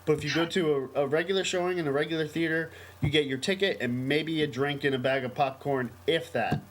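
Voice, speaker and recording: A man's voice: fairly high pitch, fairly even intonation, a little fast. An American man co-hosting a casual podcast, talking in a rambling, emphatic, enthusiastic way. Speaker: podcast co-host. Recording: noisy amateur podcast recording.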